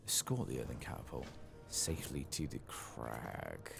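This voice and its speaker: A man hosting a soft-spoken, whispered ASMR game playthrough, murmuring his thoughts aloud in a relaxed, unscripted way. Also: extremely low pitch, moderate pace, vocal fry, music in the background. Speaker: gaming video host.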